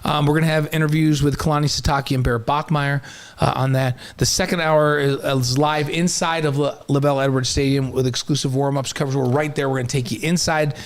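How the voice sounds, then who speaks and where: Male sports co-host, American accent, slightly high voice, rapid-fire casual chat. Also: fairly even intonation.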